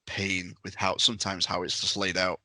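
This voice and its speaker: A man's fairly low voice with a bright, forceful edge. He chats casually on a podcast panel, and the sound is dry and close. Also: little pitch movement, moderate pace, no echo. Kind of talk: casual chat about tabletop games.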